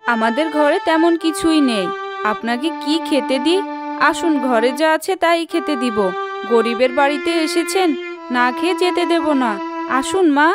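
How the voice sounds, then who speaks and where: Woman as poor village mother, high-pitched, caring, humble, cartoon storytelling, background music. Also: normal speed, natural voice. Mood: hospitable. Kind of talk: cartoon moral story voice acting. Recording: music behind the voice.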